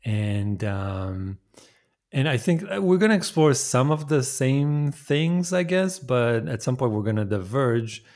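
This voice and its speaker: A man's voice: medium pitch, expressive, unhurried, darkish tone. A man hosting a podcast interview, conversationally laying out the topics he wants to explore. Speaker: podcast host. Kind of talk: interview questions.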